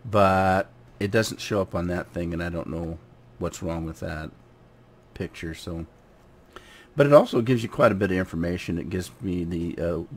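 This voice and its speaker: A man with a cold and a gravelly, hoarse voice, casually commenting on his farming game while often clearing his throat. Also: sits low. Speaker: gaming channel host.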